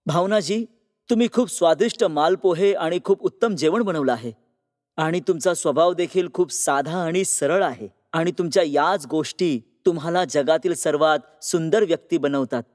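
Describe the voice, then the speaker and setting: A man playing a polite, flattering young bridegroom in a cartoon moral story. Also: fairly high pitch, moderate pace, close to an everyday voice. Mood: sweet, flattering. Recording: long reverb on voice.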